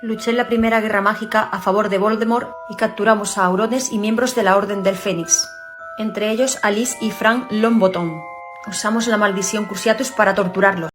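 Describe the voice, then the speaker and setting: A woman with an Andalusian accent from Seville playing a proud, fanatical pure-blood dark witch, declaring her hatreds in the first person. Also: medium pitch, steady tone, moderate pace, natural voice. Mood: haughty, spiteful. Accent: Andalusian Spanish, Seville. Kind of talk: in-character costume monologue. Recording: music playing behind the voice.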